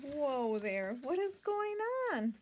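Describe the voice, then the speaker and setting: A woman reading a picture book aloud to young children, expressive and full of questions and asides to her listeners. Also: high-pitched, slow, a little hiss or hum. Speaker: children's read-aloud storyteller.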